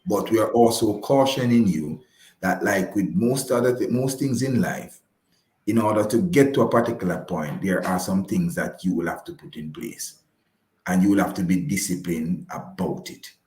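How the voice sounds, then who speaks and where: A low-pitched male voice, recorded in a roomy, echoing space. He talks like a guest adviser on a live radio show, stressing his points by repeating key phrases. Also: moderate pace, a little mellow. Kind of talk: conversational advice on a live broadcast.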